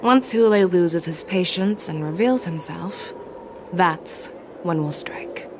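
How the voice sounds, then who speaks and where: A woman playing a patient, scheming conspirator in a video game, speaking in a hushed, deliberate way over ambient noise. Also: fairly low pitch. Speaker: voice actor. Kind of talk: scripted video game acting.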